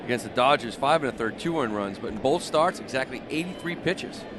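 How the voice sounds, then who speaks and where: A man offers conversational analysis during a live radio baseball broadcast. His voice is of average pitch, set against clearly noisy stadium ambience. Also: expressive, brisk. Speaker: baseball color commentator. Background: stadium ambience and noise.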